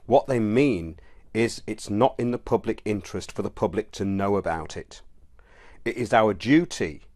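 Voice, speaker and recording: Male presenter, lowish voice, dry sound, measured declarative summing-up to the audience. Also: darkish tone, no echo.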